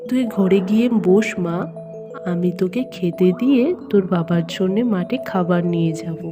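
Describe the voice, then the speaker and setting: A woman voicing a poor farmer's caring wife and mother in a cartoon, gentle and soothing, over loud background music. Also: on the low side, normal speed, lightly acted.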